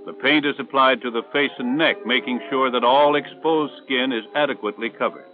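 An American man reading scripted instructional narration for a 1960s military training film in a measured, authoritative way. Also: medium pitch, faint music behind. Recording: tinny band-limited 1960s film soundtrack.

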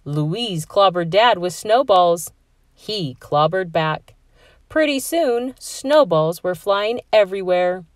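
A woman reading a children's story aloud in a measured, expressive way. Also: on the low side, no room sound, clean studio sound. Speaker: storybook reader. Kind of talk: children's read-aloud narration.

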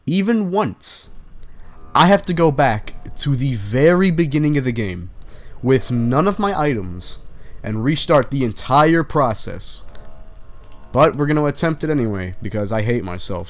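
A young man commentating his own horror game run, stuffy from a flu, swearing often and breaking into angry shouts. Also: mid-range voice. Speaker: gaming channel host. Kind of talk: casual gaming commentary.

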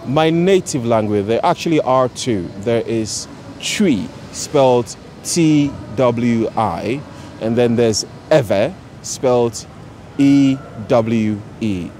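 A man's voice: mid-range voice, slow pace, mellow, slight background noise. A young man from Ghana, answering street interview questions carefully and spelling words out. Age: young adult. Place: city square outdoors.

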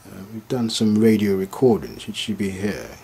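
A man giving an informal, unscripted step-by-step device demonstration, haltingly thinking aloud as he works through it. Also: fairly low pitch, fairly even intonation, gravelly, warm tone, slightly rough. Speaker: product demonstrator.

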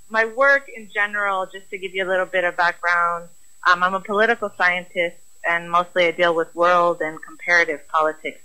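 A very bright, crisp-toned woman from Los Angeles speaks as a guest on a radio talk show. She explains things earnestly and steadily, with a lot of ums between thoughts. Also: lowish voice, poor audio. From Los Angeles, California. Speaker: guest professor.

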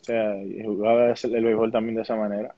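A young man with a Dominican accent, speaking calmly and measuredly as a baseball player guest in an interview, often restarting his sentences. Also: lowish voice, no room sound. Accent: Dominican Spanish. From Dominican Republic. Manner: calm, measured, with many restarts. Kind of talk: calm conversational interview. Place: small room indoors at home. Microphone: laptop webcam, video call. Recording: dull lo-fi video call audio.

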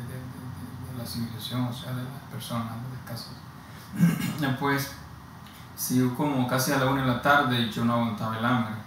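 Honduran man in a very echoey room. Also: mid-range voice. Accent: Honduran Spanish. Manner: slow, halting, with frequent restarts and repeated words. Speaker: migrant giving testimony. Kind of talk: personal testimony. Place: plain indoor room against a bare wall. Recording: very echoey room, distant mic, audible hiss.

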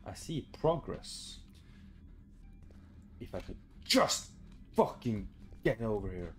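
A young man with a lowish voice does casual let's play commentary. He is sweary and lively in pitch, muttering to himself on a close mic over faint music. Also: slow. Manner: sweary, talking to himself, reactive. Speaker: gaming YouTuber. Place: home room, indoors.

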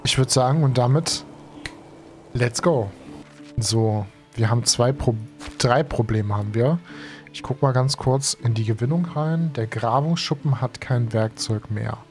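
A man's voice: mid-range voice, a little slow, a little mellow. Brief: young male let's-player, under the weather, loose slangy chatter, headset mic, faint game music underneath. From Germany. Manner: chatty, slangy, thinks aloud with many fillers. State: has a cold and is tired. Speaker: gaming streamer. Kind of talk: casual let's play commentary. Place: home streaming room.

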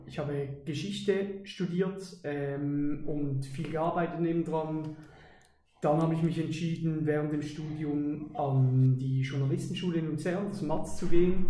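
A man's voice: a little high, dark tone, echoey room. A young man, answering podcast questions with many hesitations. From Basel, Switzerland. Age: 20s. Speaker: interview guest, army lieutenant. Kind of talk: casual podcast interview. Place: outdoors beside an army vehicle.

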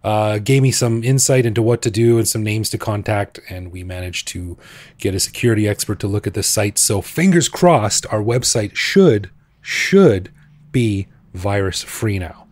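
A man's voice: on the low side, moderate pace, a little mellow. A man with a North American accent hosting a podcast, casual and joking, laughing often. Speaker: podcast host. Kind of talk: casual podcast chat and interview.

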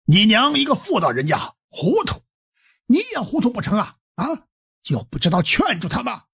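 A man playing an excitable, middle-aged county magistrate in an audiobook drama, blustering and exclaiming in a raspy voice. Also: high voice, moderate pace, full character voice. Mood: angry, scolding. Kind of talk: Chinese audiobook drama acting.

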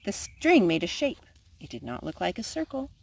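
A woman narrates a children's picture book in a storytime style. Her pitch is mid-range and moves up and down with animated intonation as she reads. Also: moderate pace, gravelly. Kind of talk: read-aloud storytime narration.

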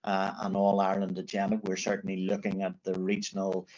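Very deep, even-toned man. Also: brisk, dull audio. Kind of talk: formal meeting comment.

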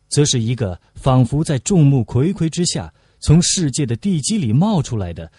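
A man's voice: mid-range voice, dark tone, slightly rough, no room sound. A man reading literary prose aloud as an audiobook narrator.

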